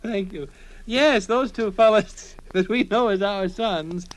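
Man as elderly radio-soap mother, raised fluttery pitch, fussy, halting, giggly, comic serial spoof. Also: very noisy. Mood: fussy, amused. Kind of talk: old-time radio serial parody.

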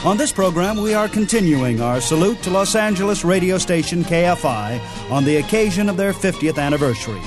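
A man hosting a radio program and introducing it in a smooth, lively presenter's style. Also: high-pitched, fairly quick, over music. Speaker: radio host.

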